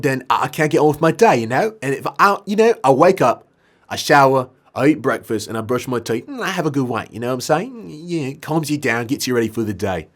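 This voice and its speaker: A man's voice: mid-range voice, natural voice. Man putting on a stubborn, insistent mock-British bloke. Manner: insistent, pleading, repetitive, matey. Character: stubborn, impatient young British working man, a hospital patient. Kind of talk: acted-out dialogue in a read-aloud story.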